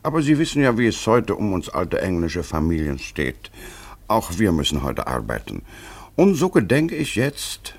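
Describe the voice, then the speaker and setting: A haughty English aristocrat turned polite blackmailer for a radio play. The voice is very deep and swings widely in pitch, with formal, measured, pompous wording. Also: male voice, wildly swooping pitch, creaky, breathy edge, full character voice. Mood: haughty, businesslike. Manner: formal, deliberate, pompous phrasing. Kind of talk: German radio play acting.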